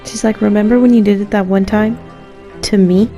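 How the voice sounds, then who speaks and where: A woman's voice: mid-range voice, steady tone, brisk. A woman doing casual, joking gameplay commentary in a wry, playfully dramatic way. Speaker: game streamer. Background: soft game music.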